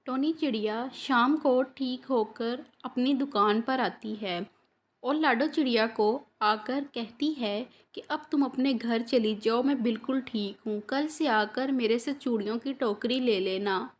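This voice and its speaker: A woman telling a children's cartoon story in a steady narrating voice. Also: a little slow. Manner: steady, lower-pitched narrating voice.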